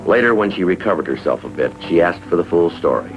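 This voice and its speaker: A man playing a stoic American police detective in a 1950s TV drama, deadpan and clipped. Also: low voice, quick pace, loud music behind the voice. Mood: matter-of-fact. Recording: dull vintage TV soundtrack.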